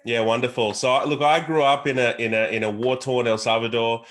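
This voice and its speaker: Man, Australian accent, mid-pitched voice, earnest, emphatic, motivational, conversational interview guest. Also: steady tone, brisk, fairly bright tone. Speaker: interview guest, entrepreneur and speaker.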